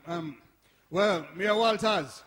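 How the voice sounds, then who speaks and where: Chatty awkward male played by an actor, drawn-out halting delivery, high register, live drama. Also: a bit bright, slight background noise, heavily acted. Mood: awkward joviality. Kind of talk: live stage radio play acting.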